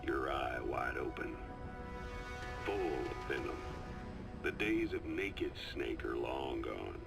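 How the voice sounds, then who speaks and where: A man playing a seasoned, calm and knowing military adviser in a video game, briefing his commander in a measured, confiding storytelling delivery. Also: a bass voice, creaky, exaggerated voice. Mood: grave, ominous. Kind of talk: video game voice acting, dramatic briefing monologue. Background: music.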